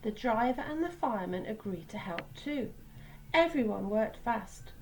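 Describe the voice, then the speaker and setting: A woman with a fairly high voice reads a children's story aloud. She is teacherly and stops for little asides about the pictures. There is light background noise in the recording. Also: animated, a little slow. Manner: teacherly, pointing out pictures and repeating words.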